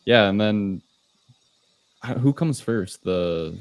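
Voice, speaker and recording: A man's voice: on the low side, animated, takes it slowly, no room sound. A man hosting a podcast, casually recapping a film in an informal, hesitant, loosely explaining way. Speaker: podcast host. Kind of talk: casual movie recap discussion.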